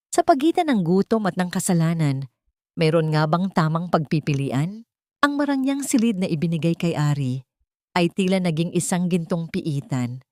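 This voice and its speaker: A woman's voice: lowish voice, darkish tone, dry recording, clean studio sound. A woman narrator reading a scripted, dramatic audio drama story.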